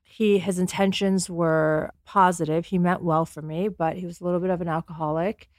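Calm, low-voiced woman as podcast guest. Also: moderate pace, no room sound, studio quality. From United States, born in Afghanistan. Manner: earnest, calm. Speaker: podcast guest, company founder. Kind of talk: personal storytelling in an interview. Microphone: close podcast microphone.

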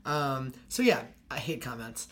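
A young woman with a deep, raspy voice, a college lacrosse player and video creator, rambling fast in casual podcast chat with constant fillers. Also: animated, over a noisy crowd. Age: young adult.

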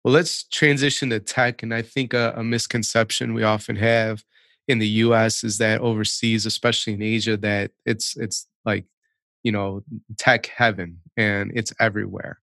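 Gravelly-voiced interviewer, loose questions. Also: medium pitch, little pitch movement, moderate pace, fairly bright tone, dry recording. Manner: brief listening sounds, loosely phrased questions. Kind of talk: conversational interview.

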